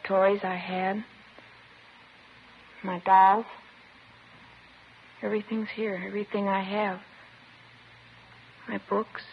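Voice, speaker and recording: A woman's voice: medium pitch, level tone, slow, vocal fry, pauses often. A woman playing a disturbed teenage girl in a 1950s radio drama, plaintive and childlike, matter-of-fact and wistful. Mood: wistful, resigned. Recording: dull, noisy old radio recording.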